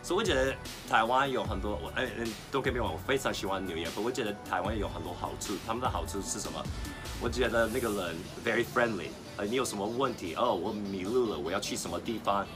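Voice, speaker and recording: A man's voice: fairly low pitch, fast, music in the background. A man from New York with an American accent, hosting a casual, breezy interview with plenty of fillers and questions. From New York, United States. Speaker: host of a video channel. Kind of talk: casual chatty interview, switching between English and Mandarin. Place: outdoor garden seating by apartment buildings.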